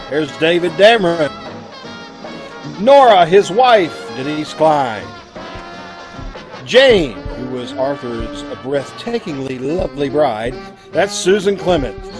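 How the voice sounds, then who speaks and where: A man's voice: a little high, low-quality recording. A man giving a live radio-show sign-off, presenting the cast and thanking listeners. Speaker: cast member announcing the closing credits.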